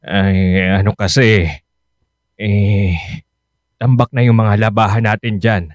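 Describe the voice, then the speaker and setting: A man's voice: on the low side, little pitch movement, takes it slowly, no echo. An actor playing a concerned, insistent middle-aged Filipino father in a radio drama, speaking earnestly. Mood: hesitant, casual. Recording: dry studio with music underneath.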